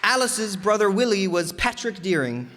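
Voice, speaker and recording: A man's voice: high voice, big pitch swings, moderate pace. A man announcing cast credits in old-time radio style to an audience in a town hall. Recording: echoey hall, crowd, noisy background.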